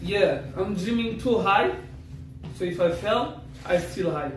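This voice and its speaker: A man whose first language is Riffian Berber, a guest in a casual chat, talking boastfully in halting English and swearing often. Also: high-pitched, expressive. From Morocco. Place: indoors in a house. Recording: echoey room, mic far off, faint noise.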